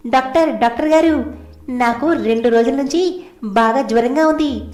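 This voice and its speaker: A woman's voice: high-pitched, normal speed, darkish tone, natural voice. A woman voicing a plaintive, ailing hen in a children's cartoon story. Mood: pleading, unwell. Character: sick talking hen, pleading patient. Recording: echoey room, faint music behind.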